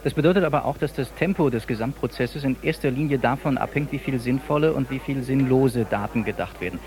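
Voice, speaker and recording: Ein Schauspieler spielt in einem Hörspiel einen sachlichen, mitdenkenden Mann im Fachgespräch. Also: male voice, mid-range voice, fast, a little mellow, natural voice, rough recording. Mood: inquiring. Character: male questioner probing a technical system, matter-of-fact. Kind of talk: radio drama dialogue.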